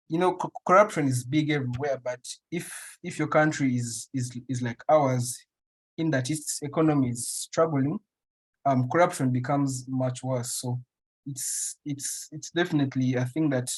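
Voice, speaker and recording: A young man from Uganda answers questions in an interview. His voice sits at a middle pitch, and he pauses often, restarting his sentences as he thinks aloud. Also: steady tone, breathy edge, low-quality recording. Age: young adult. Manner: thoughtful, many restarts and fillers. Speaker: interview guest, writer.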